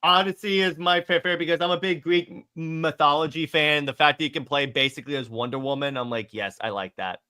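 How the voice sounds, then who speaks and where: A man's voice: fairly high pitch, fast, hardly any pauses, sharp tone, smooth. A man co-hosting a casual fan chat and fielding questions, agreeable and given to repeating himself for emphasis. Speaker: co-host fielding audience questions.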